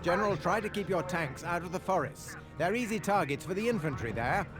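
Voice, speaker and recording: A man's voice: high voice, animated, fairly quick. A man playing a wary, dutiful intelligence officer in a video game, formal and earnest in clipped military phrasing. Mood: urgent advising. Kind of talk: video game briefing dialogue. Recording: loud orchestral music behind. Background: loud game music.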